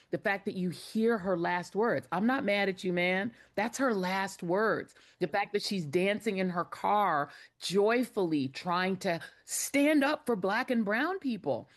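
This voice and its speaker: A woman giving political commentary on a panel, speaking in a measured, emphatic way. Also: fairly low pitch. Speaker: guest commentator.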